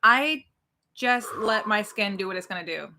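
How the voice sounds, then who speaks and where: A woman's voice: medium pitch, expressive, normal speed, background noise. A woman in her forties co-hosting a casual live stream, chatty and reading out viewers' comments. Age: 40s. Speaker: co-host.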